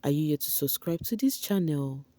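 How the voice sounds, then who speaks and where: A young woman chats straight to her viewers in a breezy, gossipy recap. Her voice sits low and mellow. The sound is close and dry, with no room around it. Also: expressive, brisk, dry recording. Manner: breezy, gossipy, with slangy asides and "you know" fillers. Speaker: entertainment vlogger. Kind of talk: chatty gossip recap to camera.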